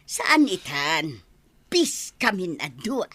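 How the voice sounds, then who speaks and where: A woman's voice: lowish voice, big pitch swings, slow, pushed and bright, no room sound, exaggerated voice. A woman with an Ilocano Filipino accent playing a cheerful, sociable village mother in a radio comedy-drama.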